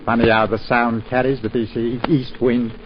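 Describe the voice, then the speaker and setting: An actor playing a humble, pious old village gardener, talking in a deferential, rambling way. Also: male voice, mid-range voice, level tone, moderate pace, close to an everyday voice. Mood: gentle. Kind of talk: 1940s radio acting. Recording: muffled 1940s radio broadcast with hiss.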